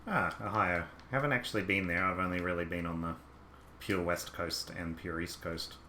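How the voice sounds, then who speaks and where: An Australian man with a low, deep voice and a bright, forceful edge, chatting in an easygoing, rambling way as he plays through a game on a live stream. His voice rings in a roomy space with a faint hiss underneath. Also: fairly even intonation, normal speed. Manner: rambling, easygoing, talks to himself about the game. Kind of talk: casual gameplay commentary chatting with live viewers.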